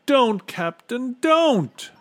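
A man acts out an earnest young boy from a storybook. The voice is pitched well up and very lively, with urgent, pleading exclamations in a dry recording. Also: moderate pace, no room sound. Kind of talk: storybook character reading.

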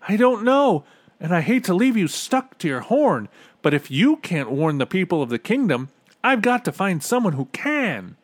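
Man voicing an earnest, high-pitched storybook boy. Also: very animated, moderate pace, no room sound. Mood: alarmed, urgent. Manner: pleading, urgent exclamations. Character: earnest, alarmed young boy hero. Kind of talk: storybook character reading.

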